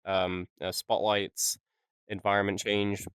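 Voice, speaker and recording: A man's voice: fairly low pitch, level tone, takes it slowly, fairly bright tone, clear, resonant tone, no echo. A young man co-hosting a podcast, chatting casually with a joking, self-mocking manner and frequent laughs. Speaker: podcast co-host. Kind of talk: casual chat.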